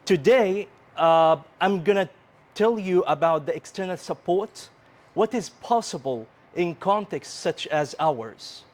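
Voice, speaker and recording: A man with an Egyptian accent gives a conference presentation. His voice sits a little high for a man, and he moves slowly through his prepared points. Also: expressive. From Upper Egypt. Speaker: conference presenter, English teacher.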